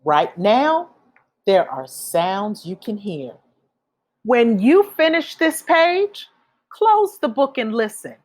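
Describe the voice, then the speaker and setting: A woman reading a children's picture book aloud, with expressive, deliberate phrasing for young listeners. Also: a little high. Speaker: read-aloud storyteller.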